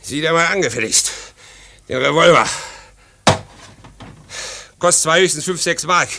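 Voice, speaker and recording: A man playing a cocky, sneering fellow in a German radio play, clipped and sarcastic, with a gravelly voice. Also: fairly high pitch, measured pace. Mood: smugly contemptuous. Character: cocky, sneering man triumphant over a captured rascal. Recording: crowd noise behind the voice. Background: crowd noise.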